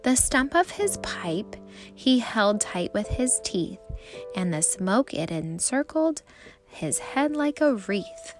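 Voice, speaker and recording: A woman reading a rhyming children's story aloud in an expressive, measured storytime style, with music behind her. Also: mid-range voice. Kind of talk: read-aloud storytime narration of verse.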